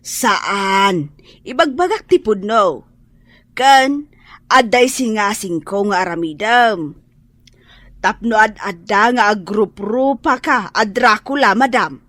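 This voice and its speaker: A woman playing a cheeky housemaid in an Ilocano radio drama, calmly teasing with mock helpfulness and an Ilocano accent. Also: medium pitch, takes it slowly, no echo. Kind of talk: Filipino radio drama acting.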